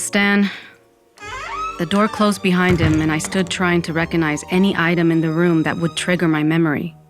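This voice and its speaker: A woman's voice: on the low side, lightly acted. A woman playing a sardonic undead woman, narrating an audio fiction in the first person. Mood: wry, reflective. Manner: wry, dramatic, peppered with Spanish exclamations. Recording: music bed under the voice.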